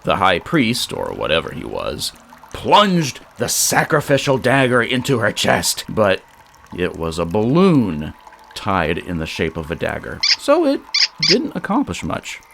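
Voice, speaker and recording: Male story narrator: unhurried, theatrical delivery with dramatic pauses and lively melodic swings. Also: on the low side, creaky, a little hiss or hum. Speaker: storyteller and show creator.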